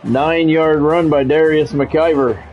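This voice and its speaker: Male game streamer, lightly higher voice, polished clipped play-by-play with casual asides, music in the background. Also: fairly even intonation, slow pace, lo-fi sound. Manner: practised radio-style play-by-play, clipped phrases. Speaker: streamer doing play-by-play of a football video game. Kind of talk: sports commentary mixed with casual chat.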